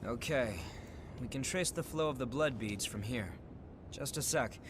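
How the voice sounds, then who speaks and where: An actor playing a stern, principled revenant protector in a video game, measured and serious. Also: male voice, mid-range voice, noisy background, natural voice. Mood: focused. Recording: game cutscene with music behind.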